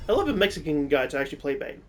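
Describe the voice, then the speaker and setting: British man with a higher voice. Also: racing, faint music behind. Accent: British, with some American vowels. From Britain. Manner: relaxed, chuckles often, many "like" fillers. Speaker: co-host. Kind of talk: casual chat over gameplay.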